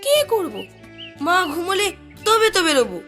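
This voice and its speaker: A grumbling village kid played by a woman with a very high, sharp voice that swings animatedly. The child complains in children's cartoon style over faint music. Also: sing-song, normal speed, full character voice. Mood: complaining.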